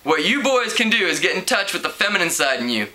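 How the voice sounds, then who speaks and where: Man reading as a bright, breezy young woman teacher. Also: high-pitched, big pitch swings, fast talker, pitched above the performer's own voice, heavily acted, rough recording. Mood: confident, upbeat. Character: young, hip, breezy, confident female home ec substitute.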